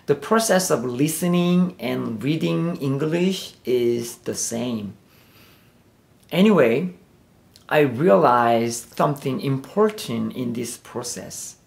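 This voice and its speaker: Slow, gravelly man with a Korean accent. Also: medium pitch. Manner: measured, carefully read delivery. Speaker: preacher. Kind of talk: sermon.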